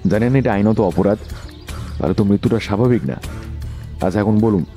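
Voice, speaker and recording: A man playing a calm, authoritative detective in an audio drama, questioning in a measured, polite but blunt way. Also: lowish voice, soft background music, lightly acted. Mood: accusing, firm.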